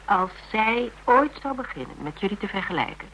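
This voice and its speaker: A cool, practical woman in a radio play. Her voice is bright and clear-cut, her delivery conversational, wry and measured as she counsels. The older recording carries some background noise. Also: medium pitch, big pitch swings, poor audio. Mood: earnest advice. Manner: matter-of-fact, wry, measured. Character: Tera, cool practical worldly woman giving frank advice. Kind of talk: Dutch radio drama acting, conversational.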